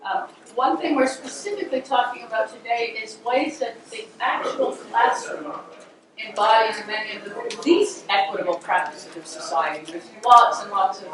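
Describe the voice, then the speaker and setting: A woman's voice: a little high, normal speed, a crowd in the background. Middle-aged woman talking to a seminar room, thin strained voice, lots of ums, sounds far off and echoey. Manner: conversational, many ums and restarts. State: weak voice, straining to project. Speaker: program director opening a workshop. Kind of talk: welcoming talk to a live audience.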